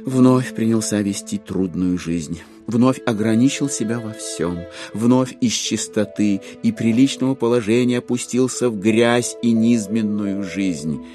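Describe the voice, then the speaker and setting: A man narrating a dramatized literary audiobook with theatrical, measured, ironic storytelling. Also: lowish voice, mellow, faint music behind.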